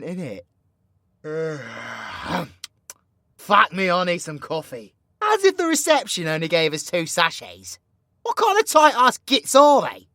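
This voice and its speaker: A man's voice: high voice, a bit bright, no room sound, clean studio sound, heavily acted. A British man voicing a cocky, crude, flirty supervillain in a roleplay audio, with sweary, teasing, slangy banter. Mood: mock-gentlemanly grumbling. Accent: British English. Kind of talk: villain roleplay ASMR audio drama.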